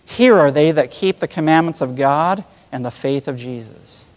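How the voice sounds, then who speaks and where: A preacher with a notably high-pitched voice delivers an earnest, emphatic sermon. He keeps asking the listeners rhetorical questions. His voice rings with a long reverb, as in a large hall. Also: normal speed.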